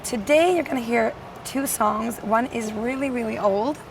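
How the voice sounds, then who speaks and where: A woman musician with a naturally hoarse voice, chatting in a friendly casual interview outdoors. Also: a little high, normal speed. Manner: friendly, laughs easily. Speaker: musician guest. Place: outdoors at a festival. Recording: distant mic, faint street noise.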